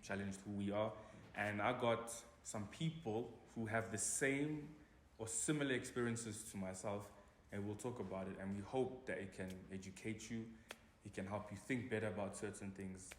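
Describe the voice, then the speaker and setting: A man's voice: fairly low pitch, moderate pace, a little hiss or hum. A South African-born man hosting a casual group conversation, upbeat and hyping up his guests. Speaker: host. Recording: echoey room with faint ambience.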